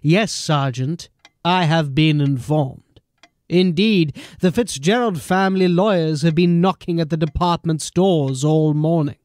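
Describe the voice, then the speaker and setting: An actor playing a stern, exasperated police captain in a fantasy audio drama, sardonic, clipped and authoritative. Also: male voice, a little high, a little slow, a little mellow, no room sound, lightly acted. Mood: dry scepticism.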